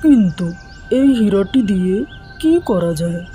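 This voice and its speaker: A woman's voice: medium pitch, expressive, measured pace, over music, close to an everyday voice. A woman voicing a poor, greedy young village man in a fairy-tale cartoon, exclaiming and talking to himself. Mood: anxious. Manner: self-talking, exclaiming, plaintive.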